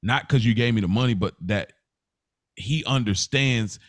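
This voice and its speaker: A man's voice: on the low side, moderate pace, dry recording. A man speaking casually and earnestly in informal slang, telling personal stories as a podcast co-host. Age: adult.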